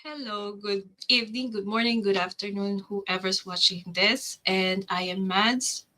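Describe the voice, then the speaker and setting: Brief: woman from the Philippines, mid-pitched voice, polite and measured. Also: steady tone, bright, forceful tone, poor audio. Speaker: guest. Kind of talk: casual self-introduction.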